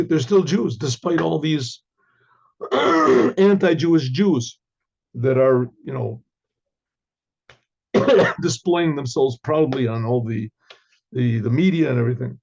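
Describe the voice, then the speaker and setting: Hoarse rabbi clearing his throat. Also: on the high side, darkish tone, dull audio. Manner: frequent throat clearing, halting restarts. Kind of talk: Torah class lecture.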